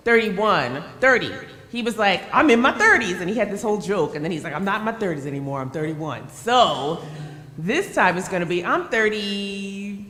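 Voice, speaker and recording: A woman's voice: medium pitch, lively intonation, normal speed, fairly bright tone. A woman hosting a live show, playfully teasing and chatting back and forth with her audience. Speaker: host. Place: event space with a live audience. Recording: echoey hall.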